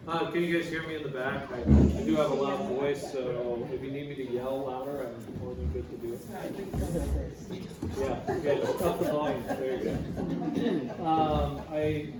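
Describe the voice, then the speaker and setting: Pastor speaking at a memorial in an echoey hall. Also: male voice, medium pitch. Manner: plain-spoken, many fillers. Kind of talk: memorial service eulogy. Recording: echoey hall, far from mic, noisy.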